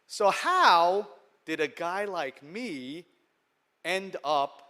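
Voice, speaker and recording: Keynote speaker: middle-aged American man, New York accent, slightly high, chatty and joking. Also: animated, drawn-out words, pushed and bright. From United States. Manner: conversational, humorous, checks in with "okay?". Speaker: venture capital investor and keynote speaker. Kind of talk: conference keynote speech. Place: large conference hall.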